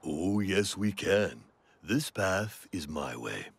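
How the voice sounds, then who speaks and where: A man voicing a wise, patient, weary mule in a children's cartoon, measured and politely cautioning. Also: deep voice, animated, husky, exaggerated voice. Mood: calm advice. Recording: music behind the voice.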